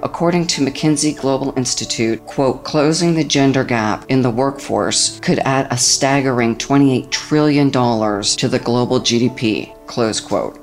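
Measured woman host over soft music. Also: a bass voice. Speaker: podcast host. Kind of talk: scripted introduction and interview questions. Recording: soft music bed under the voice.

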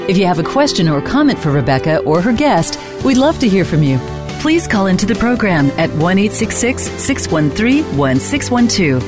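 Woman show announcer, lively pitch, clear music bed behind. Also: normal speed. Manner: bright, clear announcer read. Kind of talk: scripted show intro voice-over.

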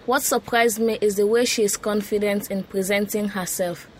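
A woman's voice: medium pitch, steady tone, normal speed, soft background music, poor audio. Woman reading formally. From Sierra Leone. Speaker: student guest. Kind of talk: reading a prepared film response.

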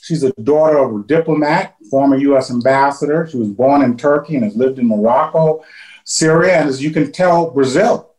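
A man's voice: medium pitch, moderate pace, darkish tone. Man host in a roomy echo. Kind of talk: reading a guest introduction.

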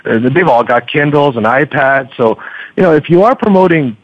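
A man speaking as a podcaster guest in a radio interview, talking conversationally and haltingly, often repeating words as he forms his thoughts. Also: on the high side, animated, no echo. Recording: slightly dull, lo-fi radio sound.

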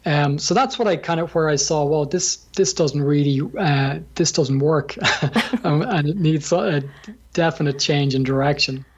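An Irishman with a husky, somewhat high voice, a gym owner talking through his path in a casual interview. He speaks haltingly, full of ums and restarts, often chuckling mid-sentence. The sound is dull and lo-fi. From Ireland.

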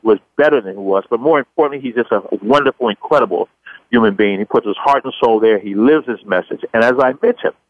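A man's voice: mid-range voice, fast talker, dry recording. A man hosting a talk radio show, introducing a guest with enthusiastic, repetitive phrasing. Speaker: radio host. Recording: dull dry studio audio.

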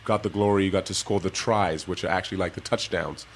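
A man, a former professional basketball player, telling his life story to kids in a relaxed way and laughing often. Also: deep voice, quick pace, slight background noise. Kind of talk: casual interview talk to kids.

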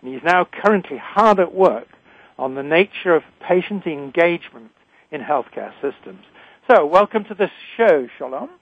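An older man with a British accent hosting a radio talk show in a formal, deliberate way, introducing and interviewing. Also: on the high side, lively intonation, breathy edge, dry recording. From Britain. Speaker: radio show host, retired physician. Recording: narrow, phone-like line.

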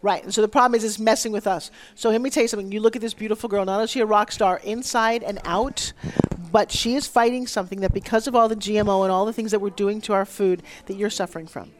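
A woman's voice: medium pitch, steady tone, fast. A woman hosting a radio interview with effusive, teasing, slangy banter. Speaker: radio show host. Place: at a conference.

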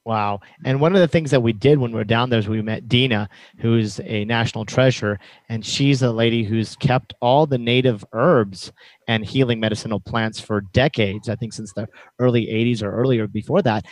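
A man's voice: medium pitch, normal speed, dry recording, poor audio. Eager male interview host with a dry, close-miked sound. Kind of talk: interview chat.

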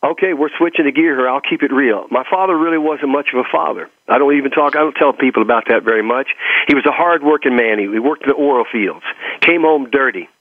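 A man's voice: mid-range voice, fast, dry recording. Emphatic Texan motivational man, muffled dry sound. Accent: Texas. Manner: emphatic, repeating phrases for effect. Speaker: motivational speaker and coach being interviewed. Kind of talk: motivational talk and personal storytelling in an interview.

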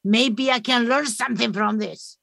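A woman with a Greek accent talks earnestly as a therapist guest, often restarting her sentences. Her voice sits a bit high and the recording is dry and close, with no room sound. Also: moderate pace, vocal fry, a bit bright, dry recording. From Greece. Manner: earnest, restarts often, non-native grammar. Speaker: hypnotherapist and author, guest. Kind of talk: conversational interview.

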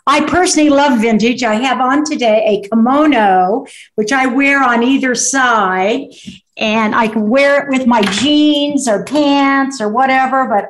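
A woman's voice: sits high, unhurried, low-quality recording. A woman hosting a talk show, gushing and rambling enthusiastically about what she loves. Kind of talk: casual interview chat.

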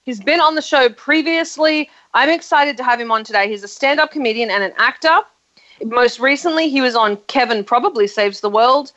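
A woman's voice: high-pitched, a little fast, fairly bright tone, highs cut off. A woman with an Australian accent hosting a radio talk show, chatty and upbeat, laughing easily. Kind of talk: casual radio chat.

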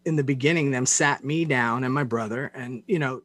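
A man interviewing in a casual, conversational way, halting and often padding his sentences with "you know". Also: medium pitch, fairly bright tone, dry recording. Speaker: interviewer, host.

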